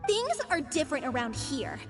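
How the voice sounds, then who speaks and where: High-pitched bratty young girl. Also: sing-song, moderate pace, a bit bright, faint music behind, full character voice. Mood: scornful. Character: bratty, defiant angsty girl, child. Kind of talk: video game voice acting.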